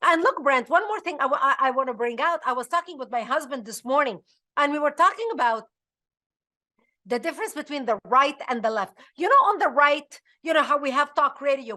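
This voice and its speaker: A woman's voice: high voice, bright, forceful tone. A middle-aged woman from Lebanon, hosting a political advocacy show in an urgent, emphatic way. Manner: emphatic, urgent, with frequent restarts. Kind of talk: impassioned political talk.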